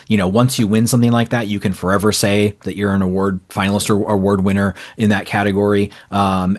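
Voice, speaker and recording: A man talking as a publisher guest in a casual interview, conversational and anecdotal. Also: on the low side.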